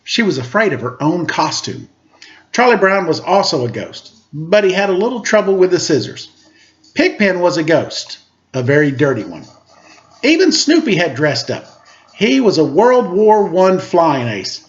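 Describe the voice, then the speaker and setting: Animated male storyteller, fairly high voice. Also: normal speed. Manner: playful, expressive. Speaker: storyteller reading to children. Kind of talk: animated children's story reading with casual asides. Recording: slightly dull, lo-fi audio.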